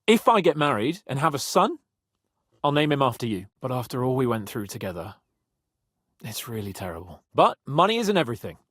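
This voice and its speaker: Brash young nobleman, husky, wildly expressive. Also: mid-range voice, fairly quick, no echo, clean studio sound. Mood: earnest. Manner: cocky, sardonic, expressive. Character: brash young male medieval nobleman, haughty, teasing, hot-headed. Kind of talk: dramatic video game voice acting.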